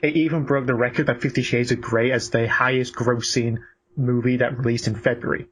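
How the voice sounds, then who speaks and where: A man co-hosts a casual film chat in a mid-pitched voice. He is blunt and halting and swears freely, with slight noise behind him. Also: fairly even intonation, low-quality recording. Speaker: podcast co-host. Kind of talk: casual opinionated film discussion.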